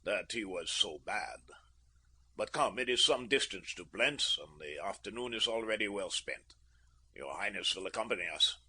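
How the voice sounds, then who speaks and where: A man reading an audiobook, voicing a polite, ironic army lieutenant in a measured, slightly lower voice. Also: expressive, bright, forceful tone, husky, heavily acted.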